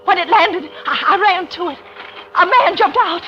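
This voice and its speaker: An actress in an old radio suspense drama playing a frantic, guilty wife, breathless and stammering in a melodramatic style. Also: female voice, very high voice, normal speed, background noise. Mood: tense, haunted narration. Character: frantic, guilty wife pleading for her life. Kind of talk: 1940s radio suspense acting. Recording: dull old radio recording, loud music behind.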